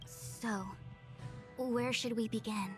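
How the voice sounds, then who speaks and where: A woman playing an acting general and diviner in a video game, speaking in a formal, measured, deadpan and self-important way. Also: a little high. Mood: grave, measured. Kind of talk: scripted game dialogue, formal declamation. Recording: game dialogue over loud music. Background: game music.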